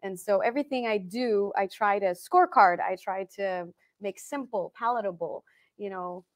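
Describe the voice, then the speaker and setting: Woman, 30s, interview guest, mid pitch, energetic, emphatic, fluent explainer. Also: moderate pace. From Malaysia and Canada. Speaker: impact investing expert guest. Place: studio set with dark backdrop.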